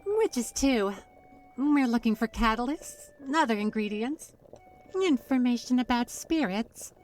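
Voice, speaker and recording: A woman's voice: fairly high pitch, animated, measured pace, darkish tone, distant mic, faint music behind. A woman playing a playful, proud young witch who talks in a teasing, theatrical way in a fantasy audio drama. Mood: chatty, reminiscing. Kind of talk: fantasy audio roleplay acting.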